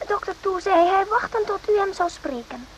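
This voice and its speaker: Very high-voiced deferential foreign nurse. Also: female voice, animated. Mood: polite, deferential. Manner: halting, ungrammatical, servile politeness. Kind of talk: radio drama acting. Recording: noisy old radio play recording.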